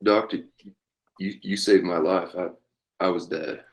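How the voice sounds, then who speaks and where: Man playing deep-voiced, halting army captain. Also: fairly even intonation. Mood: weak, dazed. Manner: halting, repeats words. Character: halting, scheming army captain in the old West. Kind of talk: dramatic table read of a western.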